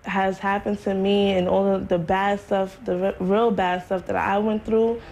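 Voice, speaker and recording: A woman's voice: medium pitch, level tone, a little slow, a little mellow, slight background noise. A woman in her twenties speaking casually and reflectively in an interview, often saying "like". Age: 20s. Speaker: interviewee, trafficking survivor.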